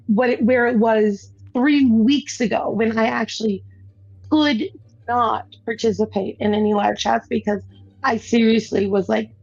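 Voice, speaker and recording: A woman's voice: on the high side, a little mellow, dry recording. A woman hosting a gaming stream, chatting casually and telling a rambling story, laughing often and restarting her sentences. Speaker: streamer and show host. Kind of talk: casual chat while gaming. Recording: dull, dry lo-fi sound.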